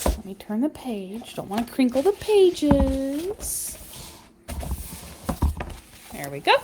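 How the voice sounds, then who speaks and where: A woman reading a children's picture book aloud, with expressive storytelling emphasis and chatty asides. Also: high voice, slow, noisy background. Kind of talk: animated read-aloud storytelling with casual asides.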